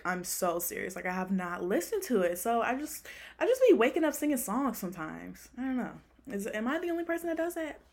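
A young woman hosting a casual podcast, chatty and laid-back, often laughing as she talks. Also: medium pitch. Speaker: podcast host. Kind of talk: casual chat.

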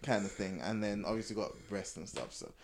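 Low-voiced man in casual chat. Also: brisk, a crowd in the background. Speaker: podcast co-host. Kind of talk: casual, candid group chat.

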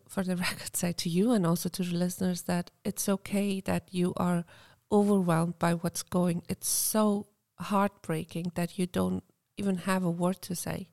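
A woman from Afghanistan co-hosting a podcast, speaking softly and reflectively with halting restarts. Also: on the low side, gravelly, no room sound, clean studio sound. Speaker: podcast co-host. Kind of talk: casual podcast conversation.

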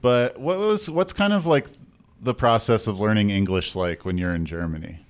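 A man's voice: on the low side, normal speed, clean tone. An American man interviewing someone in a casual, conversational way.

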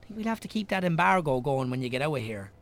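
Wry, higher-pitched man. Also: very animated, quick pace, a bit bright. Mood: teasing, fond. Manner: wry, bantering. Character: teasing, wry Irish local businessman and friend. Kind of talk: naturalistic radio drama acting.

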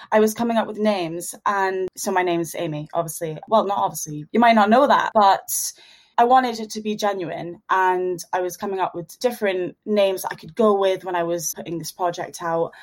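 Young adult female, Scottish accent, casual interview guest, chatty and gently self-deprecating. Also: mid-range voice. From Dundee, Scotland. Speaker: interview guest, DJ and singer-songwriter. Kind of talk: casual conversational interview answers.

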